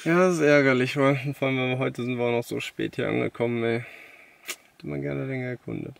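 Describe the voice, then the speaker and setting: A man's voice: mid-range voice, fairly even intonation, a little fast, vocal fry. A young man talking casually and colloquially as a travel vlogger. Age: young adult. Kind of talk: casual vlog talk.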